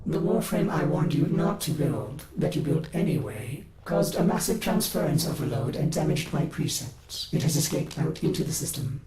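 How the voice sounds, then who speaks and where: Game AI, male: higher-pitched, formal, dutiful ship mind, clipped and precise, echoey. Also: lively intonation, normal speed, few pauses, a crowd in the background. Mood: reproving. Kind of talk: cinematic video game voice acting. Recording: echoey, with loud background noise.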